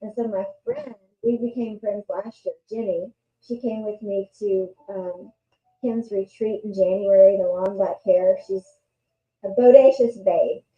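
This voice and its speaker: A woman's voice: mid-range voice, animated, unhurried. A middle-aged woman from West Texas with a West Texas accent, hosting a casual faith talk in a chatty, rambling, earnest way. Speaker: host. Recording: echoey room, dull lo-fi sound.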